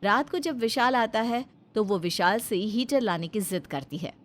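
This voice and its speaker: A woman's voice: a little high, moderate pace. A woman giving scripted narration of a family story as its narrator.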